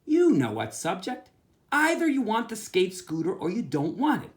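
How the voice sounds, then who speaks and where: A man voicing a smooth-talking, cocky young boy who talks friends into trades, as a storybook reading. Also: high-pitched, huge pitch swings, moderate pace, pitched above the performer's own voice. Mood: persuasive. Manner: persuasive, cocky.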